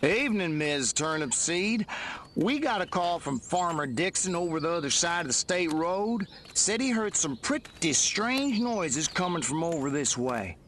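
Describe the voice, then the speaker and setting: A man's voice: a little high, very animated, unhurried, a bit bright, slight background noise. A man playing a polite, folksy small-town policeman in a video game. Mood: polite, matter-of-fact.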